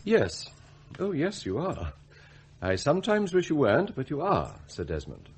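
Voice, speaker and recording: An actor playing an outwardly loyal but bitter, plotting butler in a radio drama, speaking in formal, precise words. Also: male voice, a little high, wildly swooping pitch, breathy edge, exaggerated voice. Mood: deferential. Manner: formal, precise, measured wording. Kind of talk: radio drama acting with confiding narration.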